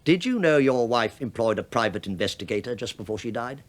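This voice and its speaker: Male actor as shabby British sleuth, radio play: dry understatement, needling courtesy, highly varied intonation. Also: animated, fairly quick, close to an everyday voice. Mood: wry, accusing. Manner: sardonic, mock-deferential, probing, with dry understatement. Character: wry, sly, shabby male investigator posing as police inspector. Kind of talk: radio drama acting.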